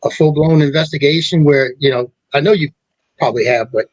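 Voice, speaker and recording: A middle-aged man hosting a talk show, speaking in a rambling, halting way with many restarts. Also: a little high, dry recording, rough recording. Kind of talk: casual chat.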